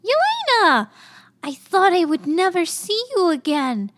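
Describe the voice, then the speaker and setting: A woman acting an excitable, affectionate little orphan girl in an audiobook, gushing as she speaks. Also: extremely high pitch, measured pace, dry recording, full character voice. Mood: overjoyed. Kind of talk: fan audiobook character acting.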